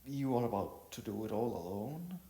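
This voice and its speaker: A man voicing a concerned, gentle young woman in a storybook, with a hesitant, questioning manner. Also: on the low side, very animated, normal speed, echoey room.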